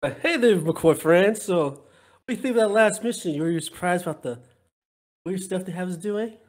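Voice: mid-range voice, lively intonation, moderate pace, natural voice. A player voicing a nosy spaceship crewmate in a tabletop game, coy, playful and needling. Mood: friendly, prying. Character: nosy, teasing spaceship crewmate, persistently prying.

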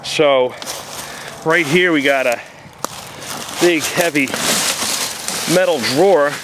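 A man's voice: on the high side, slow, noisy background. A man with a New Jersey accent casually describing what he finds while walking through the woods, talking into a handheld camera with plain, filler-dotted narration. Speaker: outdoor explorer vlogger. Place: woods outdoors.

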